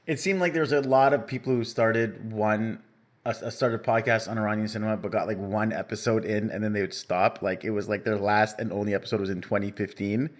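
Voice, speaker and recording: A young man from Canada co-hosting a podcast in casual chat, relaxed and rambling with many fillers. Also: fairly low pitch, brisk. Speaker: podcast co-host.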